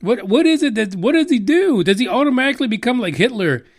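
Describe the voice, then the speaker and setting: A man's voice: very high voice, animated, fast talker, dry recording, clean studio sound. A man hosting a podcast, ranting casually with lots of swearing and rambling asides. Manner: exasperated, profane, rambling. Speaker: podcast host. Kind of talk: casual solo podcast rant.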